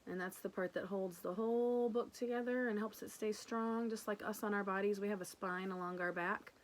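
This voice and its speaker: Female teacher, mid-pitched voice, affectionate and simple, storybook read-aloud with casual asides for young kids. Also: fairly even intonation, brisk, slight background noise, poor audio. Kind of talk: picture-book read-aloud with casual explanations.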